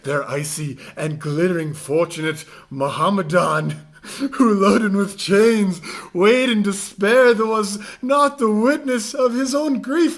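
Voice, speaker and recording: A man giving a mock-dramatic reading, with overwrought theatrical delivery that swings between hushed and loud. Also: sits high.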